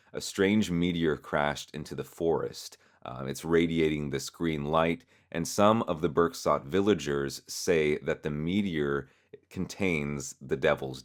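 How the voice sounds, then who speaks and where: A man hosting an explainer, narrating in a measured, explanatory way that is partly scripted. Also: extremely low pitch. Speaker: host explaining a horror web series.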